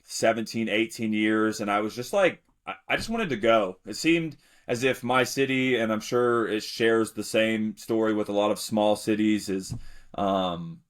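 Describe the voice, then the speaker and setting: A young man from Alabama, telling his story in a relaxed, rambling way as a podcast guest. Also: fairly low pitch, a little slow. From Alabama, United States. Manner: relaxed, rambling, many fillers and restarts. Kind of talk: casual interview storytelling.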